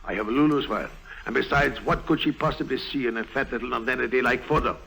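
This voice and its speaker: An actor playing a pompous, jealous, gullible middle-aged bank official in a theatrical 1950s radio drama style. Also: male voice, mid-range voice, quick pace, creaky, slightly rough, exaggerated voice, poor audio. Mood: jealous, earnest. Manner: theatrical, fussy, self-important, excitable. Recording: old 1950s radio broadcast recording.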